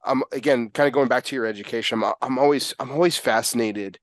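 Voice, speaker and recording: A man's voice: mid-range voice, fast talker, dry recording. A man who hosts a casual interview and talks in an eager, rambling way full of fillers. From United States. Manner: enthusiastic, rambling, full of fillers and "you know". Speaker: podcast host.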